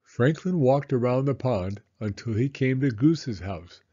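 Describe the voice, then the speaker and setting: A man narrating a children's storybook aloud in an expressive, measured storytelling manner. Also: medium pitch, breathy edge, muffled recording.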